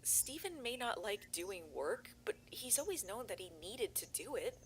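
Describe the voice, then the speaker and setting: A woman's voice: fairly high pitch, big pitch swings, moderate pace, slight background noise. A woman playing a worried young videographer searching for her missing brother in an analog horror audio drama, talking in an earnest, rambling way.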